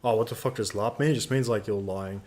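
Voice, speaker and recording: A man's voice: lowish voice, fast talker, a little mellow, a little hiss or hum. A young man co-hosting a live Q&A stream, chatting in a laid-back, slangy way. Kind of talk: casual live-stream chat.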